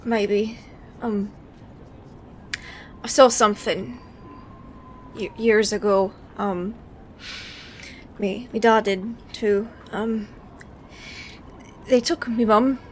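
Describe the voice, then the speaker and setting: A woman playing a shaken, haunted young woman in a fantasy audio drama, hesitant and halting. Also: medium pitch, steady tone, slight background noise. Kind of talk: actual-play audio drama acting.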